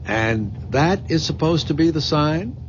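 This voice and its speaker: A wry, protective young American fiancé in an old radio drama. His delivery is emphatic and lively in pitch, with car noise behind him on a dull vintage recording. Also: measured pace. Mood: wry, suspicious. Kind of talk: 1970s radio drama acting. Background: car engine and road noise.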